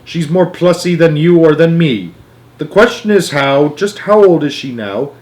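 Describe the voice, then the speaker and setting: Man acting as eccentric male candy maker, excitable, whimsical, emphatic, raised pitch, theatrical storybook character. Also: unhurried. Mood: gleeful.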